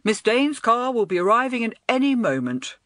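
Woman voicing an officious doorman, loud and brisk, storybook. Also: on the high side, big pitch swings, no echo, clean studio sound, voice pushed up. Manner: raised, brisk, announcing.

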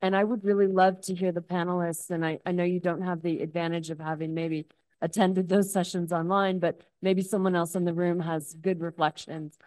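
A woman's voice: fairly low pitch, flat delivery, fairly quick, darkish tone. A woman at a conference reflects on the past days and asks the panel a thoughtful question. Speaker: conference participant asking a question. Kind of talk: spontaneous audience question at a conference.

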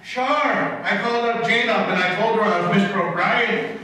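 A man's voice: high-pitched, normal speed, bright, forceful tone. A man playing a boisterous, prank-loving announcer in an old-time radio comedy performed on stage. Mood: gleeful. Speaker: actor in a staged radio play. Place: stage in a hall before an audience. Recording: echoey hall, recorded far off.

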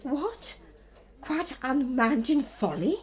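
An actress playing a commanding, controlled queen in a classical Shakespearean radio drama, speaking with measured, formal diction. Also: high voice, animated, darkish tone. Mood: scornful. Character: commanding, controlled queen scolding her unstable husband.

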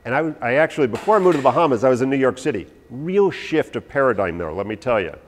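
Brief: forties male herbalist, chatty self-deprecating lecturer, mid-pitched, echoing hall from afar. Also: quick pace, a little hiss or hum. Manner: animated, anecdotal, self-deprecating, gesturing while talking. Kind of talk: informal talk to a live audience. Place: large hall with a stage, indoors. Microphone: no visible mic, far camera. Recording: hall reverb from far off.

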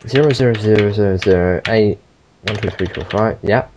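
A man's voice: on the low side, a little fast, a little mellow, slight background noise, natural voice. A man voicing a flustered, hard-of-hearing foreign old woman who keeps mishearing numbers over a muffled phone line. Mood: confused.

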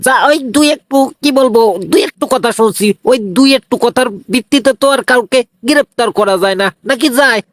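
A man's voice: very high voice, a little fast, few pauses, a bit bright, no echo, studio quality. A man voicing a defensive, evasive cartoon crook in a comic style, with a whiny, protesting manner and a Bangladeshi regional Bengali accent.

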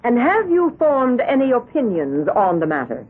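An actress playing a formal American prosecutor in a radio drama, putting precise questions. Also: female voice, a little high, huge pitch swings, normal speed, husky, heavily acted. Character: formal, brisk female prosecutor questioning in court. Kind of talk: 1970s radio drama acting. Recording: muffled old radio broadcast.

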